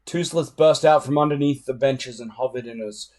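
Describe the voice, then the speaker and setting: A man narrating a children's adventure book aloud in an expressive, dramatic way. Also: medium pitch, normal speed. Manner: dramatic, animated. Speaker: storybook reader.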